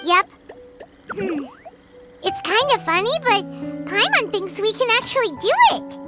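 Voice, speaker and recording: A tiny game fairy with an extremely high, squeaky voice that bounces around. She is childlike, blunt and excitable, over loud background music. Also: a little slow. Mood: musing. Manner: speaks of self in third person. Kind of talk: video game voice acting.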